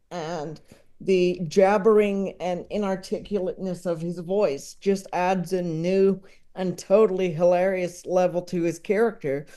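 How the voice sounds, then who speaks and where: A man with a soft-edged, mellow voice talks casually as an interview guest. He goes slowly and haltingly, wandering through his thoughts in a rambling way. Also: high voice. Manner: halting, rambling, with chuckling asides. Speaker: podcast guest, comedy enthusiast.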